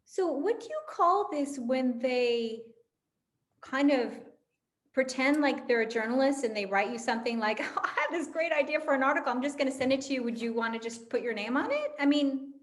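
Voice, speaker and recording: A woman's voice: sits high, lively intonation, fairly quick. A woman in her fifties casually moderating a panel discussion. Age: 50s.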